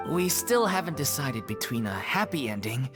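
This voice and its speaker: A voice actor playing a theater troupe member who tells a story with lively delivery, over game music. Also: medium pitch, moderate pace, natural voice. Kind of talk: game cutscene voice acting. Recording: game soundtrack music behind.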